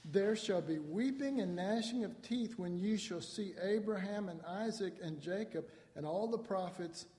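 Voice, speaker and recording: Mellow preacher in a reverberant hall. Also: male voice, sits high, normal speed, hardly any pauses. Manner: conversational, acts out quotes with rising emphasis. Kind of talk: sermon teaching on scripture.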